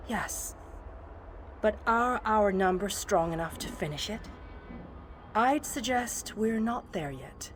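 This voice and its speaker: A woman voicing a calm, wise, ethereal guardian in a video game, speaking in a formal, measured, serene manner. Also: medium pitch, a little hiss or hum. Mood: measured, advisory.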